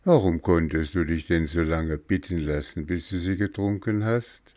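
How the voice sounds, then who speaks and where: A man voicing a patient, motherly storybook fairy who admonishes gently in a measured way. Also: deep voice, dry recording, studio quality, natural voice. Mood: admonishing. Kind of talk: storybook character voice.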